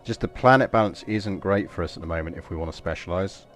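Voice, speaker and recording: English guy streaming a game, really low voice, rambly and chatty. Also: fast talker, soft background music. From England. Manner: rambling, chatty, self-deprecating. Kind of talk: casual live gameplay commentary.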